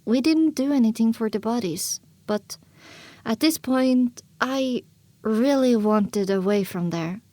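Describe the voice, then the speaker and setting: A woman narrating a first-person story as an audiobook, expressive and dramatic, on a close microphone. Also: a little high, slow, no echo.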